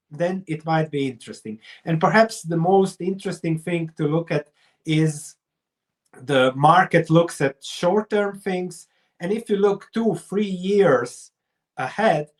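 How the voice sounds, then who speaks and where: Higher-voiced middle-aged man, Croatian accent. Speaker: investor and researcher, interview guest. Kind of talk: explanatory interview talk.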